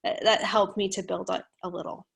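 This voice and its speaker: A woman on a webinar panel, reflective and practical, speaking softly. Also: mid-range voice, little pitch movement, a little fast, creaky, husky. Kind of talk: casual panel answer.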